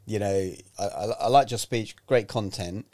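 A man's voice: lowish voice, normal speed, a little mellow. A Greek-born man in his forties, a public speaking coach, chatting casually and explaining his points with examples. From Greece. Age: 40s. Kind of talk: casual podcast chat.

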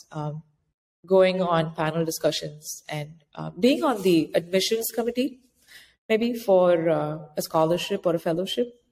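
A woman's voice: lowish voice, darkish tone. A woman being interviewed as a guest, giving practical advice in an informal, conversational way, step by step with examples. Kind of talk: conversational explanatory interview answers. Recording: noisy, very reverberant room, clicks.

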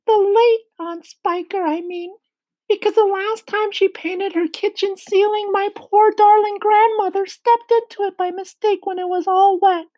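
A woman voicing a fretful, tearful female spider in a children's storybook, with a squeaky falsetto and dramatic lamenting. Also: a little mellow, rough recording. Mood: fretful, distressed.

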